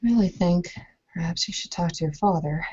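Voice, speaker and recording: A woman playing a dry, guarded young bride in a tabletop roleplay, speaking deliberately and with pauses. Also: on the low side, dark tone, breathy edge, muffled recording. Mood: wry, guarded. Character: dry, guarded young Bavarian noblewoman bride, werewolf kinfolk.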